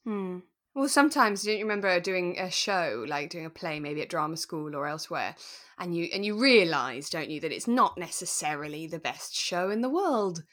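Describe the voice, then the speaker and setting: Woman, slightly low pitch, podcast co-host, casual discussion, sympathetic, reflective. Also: animated, normal speed, fairly bright tone. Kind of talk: relaxed casual discussion of a radio drama.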